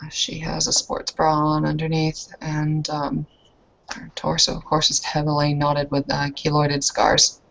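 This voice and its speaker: Low, creaky-voiced young woman. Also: monotone, a little slow, fairly bright tone. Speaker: roleplay player. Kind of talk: narrating her character's actions. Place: indoor room with leather couch, on video call. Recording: muffled lo-fi online call.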